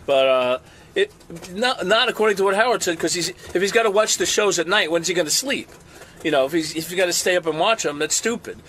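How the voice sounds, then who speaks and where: A man's voice: high-pitched, expressive, a little fast, a bit bright, a little hiss or hum. Blunt, foul-mouthed man. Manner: blunt and profane. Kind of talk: casual interview comment.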